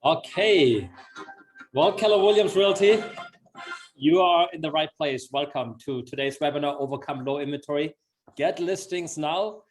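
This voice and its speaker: A man's voice: fairly high pitch, moderate pace, soft background music. A middle-aged man from Austria with an Austrian accent, a native German speaker, hosting an upbeat, chatty webinar over a video call in an effusive, encouraging manner. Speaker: webinar host, sales executive.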